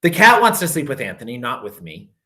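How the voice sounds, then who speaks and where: A male teacher reads a children's picture book aloud. His voice is bright and crisp, at a middling pitch. He stretches out and stresses the repeated phrases for dramatic effect. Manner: expressive, drawn-out emphasis on repeated phrases.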